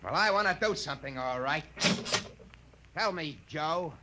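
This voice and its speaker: Hapless American working husband, played by a man, high-pitched and flustered, 1950s radio style with heavy background noise. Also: expressive, normal speed, lightly acted. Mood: wry, determined. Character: harried married repairman, frantic, hapless everyman. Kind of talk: 1950s radio drama acting. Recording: noisy vintage 1950s radio recording.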